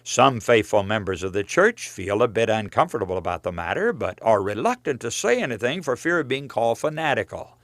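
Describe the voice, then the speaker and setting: A man's voice: lowish voice, very animated, brisk, hardly any pauses, a bit bright, dry recording. A man preaching from a written text in an earnest, admonishing, emphatic way, as in a sermon read aloud with rhetorical questions. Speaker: preacher reading own religious book.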